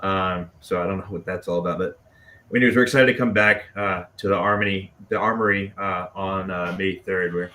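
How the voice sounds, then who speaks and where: A man from Dallas chatting casually as a band musician being interviewed, halting and self-effacing. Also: low voice, steady tone, sharp tone. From Dallas, Texas. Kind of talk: casual chat. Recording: clearly noisy background.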